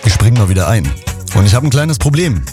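A man hosting a radio show in a casual, chatty way, his voice slightly hoarse. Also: lowish voice, quick pace, over music. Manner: chatty, lively, addresses listeners directly. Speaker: radio host.

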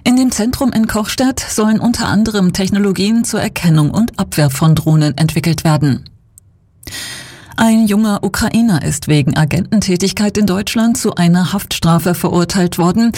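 Woman, newsreader, measured, even, scripted delivery. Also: on the low side. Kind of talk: scripted news reading.

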